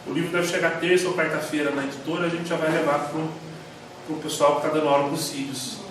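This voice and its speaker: A man with a Brazilian Portuguese accent, telling stories in a rambling, animated way while teaching a church class. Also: on the high side, fast. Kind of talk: informal church class talk. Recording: echoey hall, mic far off, faint noise.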